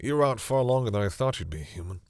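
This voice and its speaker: A man playing a centuries-old vampire in an intimate audio roleplay, speaking formally but haltingly in a husky, breathy voice. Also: fairly low pitch, lively intonation, a little mellow, slight background noise. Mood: concerned, hesitant. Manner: hesitant, halting, formal phrasing. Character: centuries-old male vampire, courteous, hesitant, commanding with servants.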